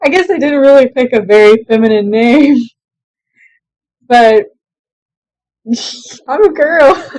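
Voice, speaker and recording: A woman's voice: high voice, darkish tone, no echo, rough recording. Chatty young woman gamer, dry close sound. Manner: chatty, self-deprecating, laughs often. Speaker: let's play gamer. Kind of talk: casual game commentary.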